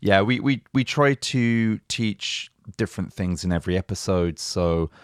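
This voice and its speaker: Male podcast host, cheerful and chatty, lowish voice, dry close sound. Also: measured pace, clear, resonant tone, no echo. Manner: upbeat, chatty, laughs often. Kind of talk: casual podcast chat.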